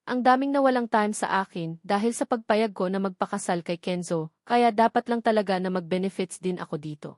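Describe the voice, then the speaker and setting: A woman's voice: medium pitch, dry recording. Woman, audiobook narrator, scripted first-person storytelling, dry recording.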